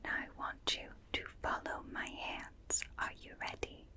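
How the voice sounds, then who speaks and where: A woman voicing a calm, formally polite alien examiner in a breathy, soothing ASMR roleplay whisper, speaking precisely into a close binaural microphone. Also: measured pace, close to an everyday voice. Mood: calm, instructing. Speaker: ASMR performer.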